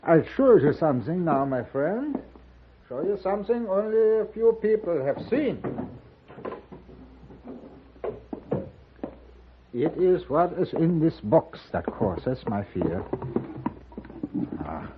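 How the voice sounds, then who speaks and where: An actor playing a frail, fearful old German gunsmith turned storekeeper in a radio western, speaking with a German accent in halting, old-world phrasing. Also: male voice, fairly high pitch, very animated, noisy background. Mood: proud, earnest. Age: older. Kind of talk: 1950s radio western acting. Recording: thin narrow-band old radio recording.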